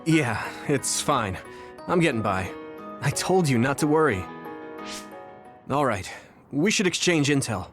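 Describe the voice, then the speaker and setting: An actor playing a gentle, sincere young soldier hero in a video game. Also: male voice, mid-range voice, sing-song, unhurried, pauses often, music in the background. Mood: warm, reassuring. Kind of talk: English video game dub acting.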